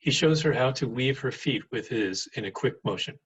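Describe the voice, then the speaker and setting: Husky man reading stage directions. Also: medium pitch, moderate pace, rough recording. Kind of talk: scripted narration. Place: indoors, on a video call.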